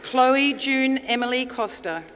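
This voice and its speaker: A woman formally announcing graduates' names at a ceremony, in a measured, deliberate delivery. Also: high voice. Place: large indoor ceremony hall. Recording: reverberant hall, audience noise, clear background noise. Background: audience crowd noise.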